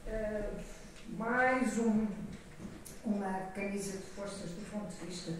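An older woman with a European Portuguese accent gives a semi-improvised conference opening speech from an auditorium stage, halting and often correcting herself as she digresses. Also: medium pitch. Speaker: organisation president giving an opening address. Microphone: podium microphone, recorded from the audience. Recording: echoey hall from far off, noisy.

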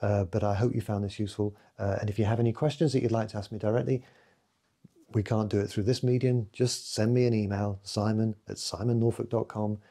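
A man in his late fifties talks to camera from a room at home, his low voice gravelly and dark. He speaks reflectively and hesitantly, with frequent fillers. His softer passages fade almost to nothing, and a husky edge runs through it. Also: fairly even intonation, brisk. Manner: reflective, hesitant, many fillers, trailing off softly. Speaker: photographer giving a recorded festival talk. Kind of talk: recorded talk to camera. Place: home room with bookshelves, indoors.

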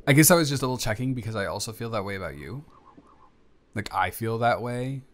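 Guy acting as a nervous young man sweet on his best friend, rambly and stammering, chatty audio roleplay, medium pitch. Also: expressive, brisk, natural voice. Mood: hesitant, tender. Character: nervous, devoted young male friend about to confess love. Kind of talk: improvised intimate audio roleplay, conversational.

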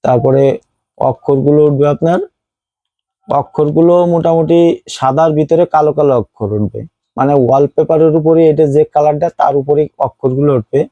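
A man's voice: a little high, fairly even intonation, fairly quick, no room sound, lo-fi sound. A man with a Bangladeshi accent giving a casual phone unboxing review as a seller, explaining things and repeating his points.

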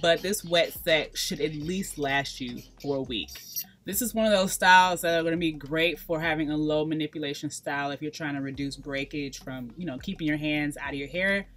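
A woman's voice: low voice, brisk, a bit bright, faint music behind. A woman in her thirties walking viewers through a hair tutorial in a casual, emphatic way, pleading with them to be patient. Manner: emphatic, coaching viewers with repeated pleas. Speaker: natural hair vlogger. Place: small bright room indoors.

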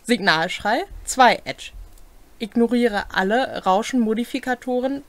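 A woman's voice: fairly high pitch, a little slow, no room sound. A young woman co-hosting a casual chat, reading out and commenting on game rules. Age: young adult. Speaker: co-host presenting game rules. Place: small cluttered home room.

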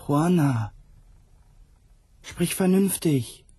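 An actor playing a troubled, disbelieving lover in a radio play, soft-voiced, earnest and questioning. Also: male voice, fairly high pitch, expressive, extremely slow, dry recording, full character voice. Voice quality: soft, breathy. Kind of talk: intimate German radio play acting.

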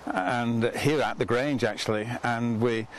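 An older man with a lapel mic, interviewing a student and speaking to camera outdoors in a relaxed, unhurried, affirming way. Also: medium pitch, a little hiss or hum, poor audio. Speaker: ministry leader and host. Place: outdoors on a garden bench by a stone manor.

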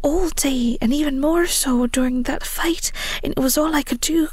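Woman acting a high, anxious, stammering young woman. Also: no echo, natural voice. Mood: pleading. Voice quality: breathy. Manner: halting, stammering. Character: anxious, apologetic young woman fighter, devoted and flustered. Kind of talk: scripted roleplay audio drama.

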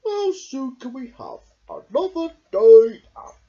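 Reader putting on a little storybook teddy bear, high and squeaky, plucky, loud, exclaiming, lo-fi recording. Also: wildly swooping pitch, slow pace, exaggerated voice. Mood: eager. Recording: dull lo-fi camera sound.

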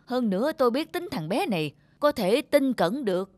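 A stout, middle-aged landlord's wife from a cartoon. She is shrewd and cautious, giving firm counsel with very lively intonation. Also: a little high, moderate pace, no echo. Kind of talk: cartoon dialogue.

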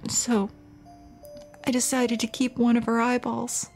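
A woman voicing a starving, guilt-ridden schoolchild reading out a confession in a horror game, deliberate and sorrowful. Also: on the high side, monotone, darkish tone, soft background music, voice pushed up. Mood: tearful, guilty.

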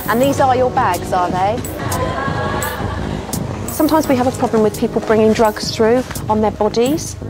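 A woman's voice: fairly high pitch, normal speed, long pauses. A woman in her thirties with a British accent, speaking plainly and matter-of-factly as an airport officer. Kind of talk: plain official questioning and explaining. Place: indoor airport rooms and corridors. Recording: loud music over location sound.

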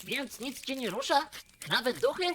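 A woman's voice: high voice, lively intonation, moderate pace, a bit bright, slight background noise. A woman playing a whiny, nervous, nerdy child camper in a dubbed cartoon. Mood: needling. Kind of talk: animated cartoon dub acting.

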